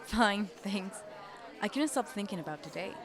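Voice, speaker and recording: A woman's voice: medium pitch, expressive, moderate pace, crowd noise, lightly acted. A woman playing a troubled, needy teenage schoolgirl in an amateur audio drama. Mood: friendly.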